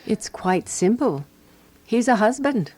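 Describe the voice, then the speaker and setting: An actress playing a prim, jealous, watchful lady companion who narrates a radio drama in a dry, deliberate, wry way. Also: on the high side, big pitch swings, darkish tone, slightly rough, full character voice.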